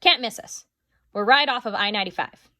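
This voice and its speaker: A woman doing a brash loudmouth mall boss. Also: on the high side, a little fast, no echo, clean studio sound. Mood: boastful. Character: brash, boastful male mall owner.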